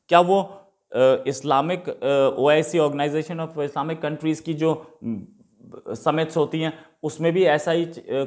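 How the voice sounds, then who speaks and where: Higher-voiced Indian man giving opinionated commentary. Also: a little mellow. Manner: rhetorical questioning, argumentative. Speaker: host commenting on current affairs. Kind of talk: opinionated news commentary, unscripted.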